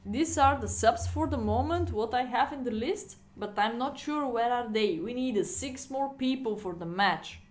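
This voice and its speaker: A woman's voice: fairly high pitch, animated, normal speed, few pauses. Chatty woman. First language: Bulgarian. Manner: chatty, laughing, non-native phrasing. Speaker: chess streamer. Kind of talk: casual live-stream commentary. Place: indoor room at home.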